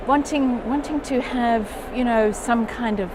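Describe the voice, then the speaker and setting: Frank, lively Zambian-born woman in her 50s, interviewee, with loud traffic behind. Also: a little high, unhurried. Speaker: interviewee, geneticist. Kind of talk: casual interview chat. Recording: loud outdoor noise.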